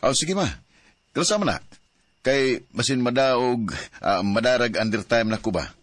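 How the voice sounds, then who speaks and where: A man's voice: mid-range voice, animated, normal speed, dry recording, close to an everyday voice. A man acting a loving young father in an audio drama, sobbing in grief. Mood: casual. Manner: emotional, sobbing.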